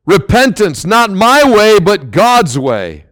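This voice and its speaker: Male pastor, loud emphatic sermon, high and very lively pitch. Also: slow. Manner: loud, emphatic preaching with sudden swells and drops.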